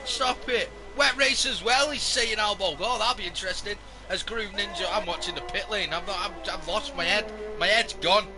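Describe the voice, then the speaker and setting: Hoarse man, worn-out commentator. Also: sits high, normal speed, hardly any pauses, loud music behind the voice. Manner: weary, self-mocking banter with the chat. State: hoarse, losing his voice. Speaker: sim racing commentator. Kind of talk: live esports stream commentary.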